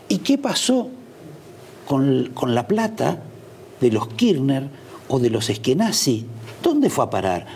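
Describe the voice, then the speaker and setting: A man's voice: mid-range voice, warm tone, husky. A middle-aged Argentine man hosting a TV interview, asking pointed questions and cutting in on his guest. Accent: Argentine Spanish. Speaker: TV host.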